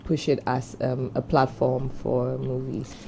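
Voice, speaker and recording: Mellow-voiced talk show co-host. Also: medium pitch, completely flat delivery, unhurried, gravelly, a little hiss or hum.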